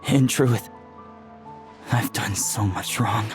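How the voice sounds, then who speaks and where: A man playing an earnest, guilt-ridden young knight in a video game, halting and emotional. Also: on the low side, soft background music. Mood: remorseful.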